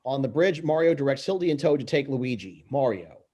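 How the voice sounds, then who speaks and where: A man reading stage directions aloud in an amateur script read-through, halting and tripping over words. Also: medium pitch, expressive, dark tone, slightly rough, dull audio.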